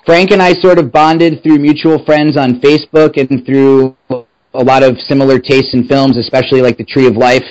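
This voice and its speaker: A man's voice: mid-range voice, steady tone, normal speed, a little mellow, no room sound. A man in his thirties, a podcast co-host chatting casually from home, often stumbling over and repeating words. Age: 30s. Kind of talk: casual chat. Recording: muffled lo-fi line.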